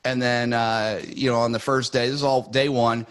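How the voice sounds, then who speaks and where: A man hosting a relaxed podcast conversation, his voice sitting at an ordinary middle pitch. He rambles easily with plenty of fillers and breaks into laughter often. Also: moderate pace, smooth. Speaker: podcast host. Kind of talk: casual chat.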